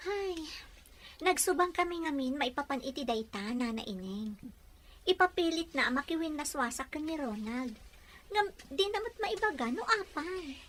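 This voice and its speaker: Woman acting an earnest young daughter, high bright voice. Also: lively intonation, normal speed. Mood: puzzled, earnest. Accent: Filipino. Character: earnest young daughter in love, defying her mother. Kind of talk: Ilocano radio drama acting. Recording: faint background noise.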